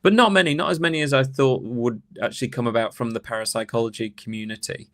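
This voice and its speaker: A man's voice: mid-range voice, fast talker. A man speaking as an academic interview guest, telling anecdotes in a thoughtful, rambling, conversational way.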